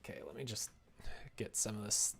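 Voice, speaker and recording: A man's voice: lowish voice, steady tone, brisk, gravelly, fairly bright tone, breathy edge. A man livestreaming casually, thinking aloud and muttering to himself with playful little asides as he browses. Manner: muttering to self, playful asides and sound effects. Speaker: livestreamer making a visual novel.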